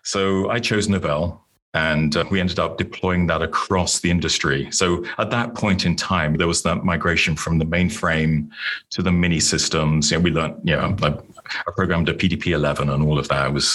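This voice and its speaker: British male guest, 50s, low and breathy, wry and self-deprecating, chatty on a call-in line. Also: a bit bright. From United Kingdom. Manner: rambling, self-deprecating, with restarts. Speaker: podcast guest. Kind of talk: casual interview storytelling. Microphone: remote call-in.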